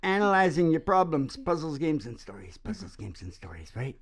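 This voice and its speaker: A man's voice: on the high side, very animated, fairly quick. A man hosting a casual philosophical chat, talking in a rambling, folksy, preachy way and often checking that he is agreed with. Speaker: host.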